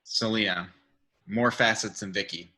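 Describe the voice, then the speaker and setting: A man's voice: lowish voice, fairly even intonation, measured pace, sharp tone. A man playing a brooding billionaire vigilante in a script table read, musing in an offhand, dry way. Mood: wry musing.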